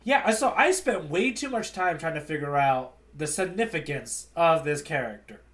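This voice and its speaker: A man's voice: on the high side, animated, moderate pace, fairly bright tone. A man co-hosting a podcast, talking in a casual, slangy way and often repeating words as he thinks aloud. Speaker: podcast co-host. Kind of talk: casual conversational discussion.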